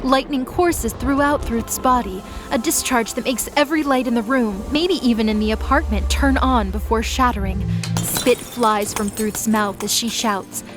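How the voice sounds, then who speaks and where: A woman's voice: fairly high pitch, moderate pace, music in the background. A woman giving dramatic scripted narration as the storyteller of an audio drama.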